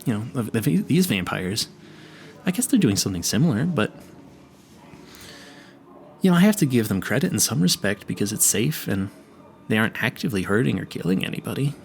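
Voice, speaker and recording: A man's voice: lowish voice, sing-song, fairly quick, vocal fry, long pauses. A man playing a clueless, earnest vampire hunter in an audio roleplay, stammering and rambling in a politely formal, pleading way. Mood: rambling, apologetic. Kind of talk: intimate audio roleplay addressed to the listener.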